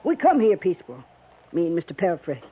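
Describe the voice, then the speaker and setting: An American actress playing a tough, grasping old frontier widow, curt, scolding and folksy, in a 1950s radio western. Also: female voice, lowish voice, very animated, fairly quick, slightly rough. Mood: gruff, matter-of-fact. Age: older. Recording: tinny, narrow-band 1950s radio recording.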